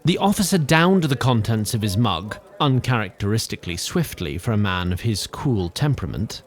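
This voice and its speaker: A man's voice: lowish voice, big pitch swings, moderate pace, faint music behind. A man playing the formal, theatrical narrator of a fantasy audio drama. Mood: measured storytelling. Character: storyteller narrating a fantasy epic tale.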